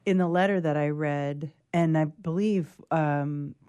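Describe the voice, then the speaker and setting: A woman's voice: low voice, measured pace, warm tone, dull audio. A woman co-presenting a casual literary discussion, earnest and emphatic, rephrasing as she goes. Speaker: co-presenter and reader.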